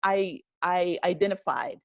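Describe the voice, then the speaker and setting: An American woman speaking casually as an interview guest, halting and often rephrasing, with plenty of "you know". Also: fairly low pitch, creaky, no echo. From United States, a rural town. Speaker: interview guest, writer and director of an audio drama.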